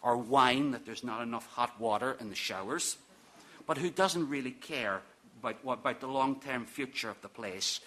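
A man's voice: medium pitch, normal speed, bright, forceful tone. A middle-aged man from Ireland reading a prepared lecture at a podium in a conference hall, with dry, wry asides. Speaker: academic speaker. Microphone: podium microphone.

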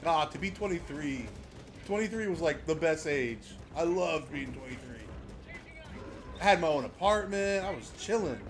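A man's voice: a little high, lively intonation, moderate pace, slight background noise. A man in his thirties streaming a game from home, chatting casually with viewers and swearing now and then. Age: 30s. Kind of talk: casual gaming livestream chat. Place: home room, indoors. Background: game music and gunfire.

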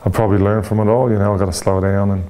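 Irish man, forties, candid interview, many fillers and restarts, mellow tone. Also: fairly low pitch, level tone, rapid-fire. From Ireland. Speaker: interview guest.

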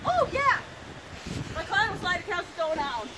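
A young woman with a very high, bouncy voice and a slow, drawn-out delivery mocks herself and swears as she struggles over playground equipment. She is outdoors, caught on a phone held by a friend, and the audio carries steady outdoor noise. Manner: swearing, self-mocking, laughing between lines. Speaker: playground challenge participant. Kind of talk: casual banter while climbing. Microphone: phone camera held by someone else.

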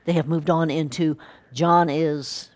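A middle-aged woman with a slightly low voice talks as co-host of an informal review. She is emphatic and conversational, often repeating a word or phrase to drive a point home. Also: fairly even intonation, unhurried, a little mellow. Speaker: film review show co-host. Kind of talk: casual review chat. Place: home kitchen, indoors.

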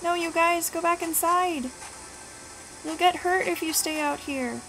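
A woman's voice: extremely high pitch, a little slow, sharp tone, poor audio. Playful woman streamer over game music. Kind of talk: casual gaming commentary, reading game dialogue aloud.

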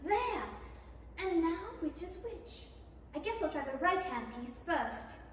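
Very high-voiced Alice, echoey stage. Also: female voice, very animated, a little slow, full character voice. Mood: puzzled. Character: Alice, polite, bewildered little girl. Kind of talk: 1930s radio play acting. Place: theatre stage, reading from script. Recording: echoey hall, distant, faint noise.

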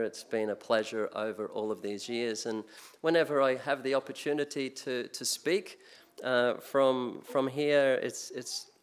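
Older Australian lay preacher, chatty, unpolished pulpit delivery. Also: male voice, medium pitch, clear, resonant tone. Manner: conversational, many restarts and fillers. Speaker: guest preacher. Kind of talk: sermon. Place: church sanctuary, speaking at a pulpit. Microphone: pulpit mic.

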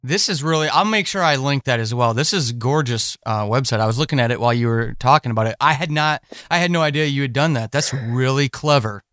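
A man's voice: mid-range voice, fast talker, few pauses, a bit bright, no echo, studio quality. A man hosting a casual podcast interview, encouraging and effusive toward his guest.

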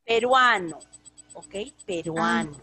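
Slow, patient teacher on a call. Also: female voice, on the low side, lively intonation, sharp tone. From Peru. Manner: patient, repeats phrases, drawn-out English words. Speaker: English teacher. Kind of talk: online class teaching. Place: home room with altar behind.

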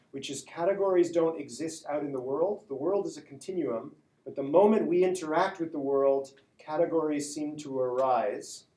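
A man's voice: on the high side, warm tone. A male university lecturer giving a classroom lecture, conversational, often checking in with "right?" and restarting phrases. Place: large lecture hall. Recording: echoey lecture hall.

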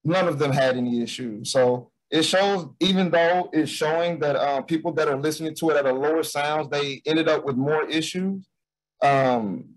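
A man's voice: a little high, normal speed, low-quality recording. A man giving a loose, hesitant student project presentation over a video call, with frequent restarts. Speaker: bootcamp student presenting a capstone.

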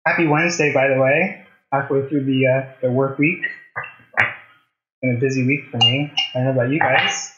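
A man's voice: medium pitch, steady tone, moderate pace, pauses often, fairly bright tone, a little hiss or hum. A man in his thirties hosting a casual cooking demonstration, talking conversationally to viewers as he works. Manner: conversational, asks viewers questions while working. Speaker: cooking show host. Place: home kitchen, indoors. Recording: slightly dull, roomy kitchen sound.

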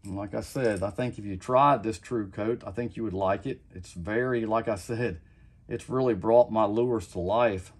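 A man's voice: fairly low pitch, normal speed. A middle-aged man giving a casual how-to demonstration at his home workbench, rambling and often repeating himself. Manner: rambling, repeats "like I said", relaxed and plain-spoken. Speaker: custom lure maker.

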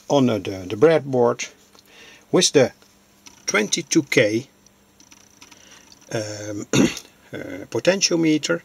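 A man giving an informal hobbyist demonstration, narrating in halting, deliberate phrases. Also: mid-range voice, creaky, husky. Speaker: electronics hobbyist presenter.

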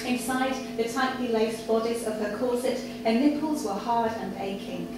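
A young woman reading a story aloud in a measured, deliberate storytelling delivery. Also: fairly high pitch. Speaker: reader at a live literary night. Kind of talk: dramatic reading of written fiction. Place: live in a café before an audience. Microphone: far camera. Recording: echoey, distant camera mic, room noise.

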